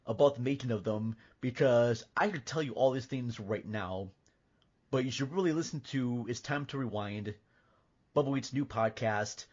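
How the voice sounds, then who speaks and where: A man chats casually as a podcast host. His voice sits at a medium pitch and his manner is joking and mock-serious. The audio is a bit dull. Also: normal speed, a little mellow.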